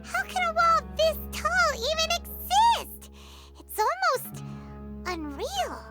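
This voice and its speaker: A woman voicing a tiny, childlike, excitable fairy companion in a video game, squeaky and naive. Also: takes it slowly, over music, heavily acted. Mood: wide-eyed, cheerful. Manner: wide-eyed, talks of self in third person. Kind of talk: cartoonish video game voice acting.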